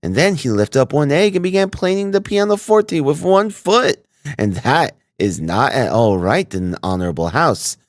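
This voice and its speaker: A man's voice: mid-range voice, sing-song, moderate pace, dry recording, studio quality, voice pushed up. A man voicing a fawning, indignant landlady with a German accent who patters on. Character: fawning middle-aged German landlady, chattering.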